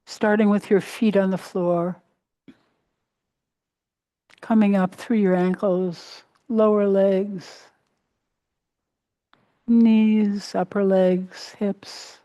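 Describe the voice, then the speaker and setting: Slow, mellow woman guiding a meditation. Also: mid-range voice, level tone, no echo. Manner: gentle, unhurried, pausing between short phrases. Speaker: meditation teacher. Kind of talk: guided meditation, body scan.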